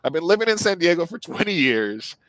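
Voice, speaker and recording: A man's voice: fairly high pitch, very animated, fairly quick, a bit bright, no room sound. A man in his forties hosting a casual interview podcast, rambling with many restarts and fillers. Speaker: podcast host.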